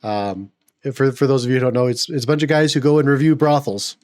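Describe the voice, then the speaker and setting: A man hosting a casual podcast, getting over a cold so his voice is a little gravelly, opinionated and prone to stumbling restarts. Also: mid-range voice, fast, darkish tone, no room sound, clean studio sound. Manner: opinionated, rambling with repeated restarts. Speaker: podcast host.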